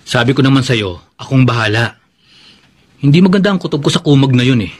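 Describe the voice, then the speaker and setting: A man acting a cocky, scheming young Filipino ally in a radio drama, husky, brash and conspiratorial. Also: medium pitch, animated, brisk, lightly acted. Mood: cocky, egging on. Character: cocky, scheming, loyal young male would-be brother-in-law.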